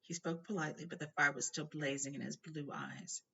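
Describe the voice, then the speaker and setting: A woman narrates a children's story aloud with expression. Her voice is creaky and bright. The home recording is a little dull, with faint noise. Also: deep voice, very animated, brisk. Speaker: storybook reader.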